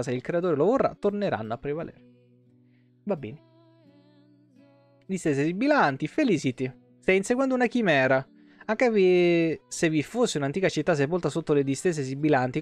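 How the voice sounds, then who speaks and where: A man in his thirties with a tiring voice, reading game lore aloud on a livestream with casual asides. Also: on the high side. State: voice tired and giving out. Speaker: game streamer. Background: soft plucked guitar music.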